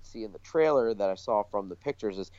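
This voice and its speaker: A man's voice: on the low side, lively intonation, a little fast, a little mellow, dry recording, dull audio. A man co-hosting a podcast in casual, enthusiastic chat. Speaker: podcast co-host.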